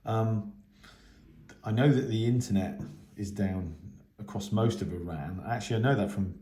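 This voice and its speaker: A man giving a casual, unscripted radio hobby news update in a hesitant, halting way. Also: fairly low pitch, mellow. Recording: echoey, roomy sound.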